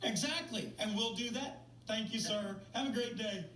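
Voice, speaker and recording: A man's voice: high voice, moderate pace, fairly bright tone, recorded from a distance. A male interviewer chats casually in an upbeat, bantering way. His voice comes through roomy and a little away from the mic, with faint noise underneath. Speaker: TV show host, interviewer. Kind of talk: casual broadcast interview chat.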